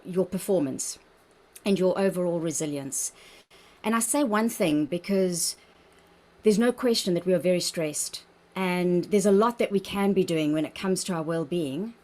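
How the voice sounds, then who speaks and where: A woman with a South African accent, a dietitian leading an online wellbeing workshop on a video call in an encouraging, chatty manner. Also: medium pitch. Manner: warm, encouraging, chatty with laughs. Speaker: workshop presenter, registered dietitian.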